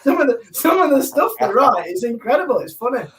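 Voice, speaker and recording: A man with a croaky, hoarse voice chatting casually and jokingly on a livestream. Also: very high-pitched, big pitch swings, fast talker, a bit bright. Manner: self-mocking, jokey banter. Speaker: co-host.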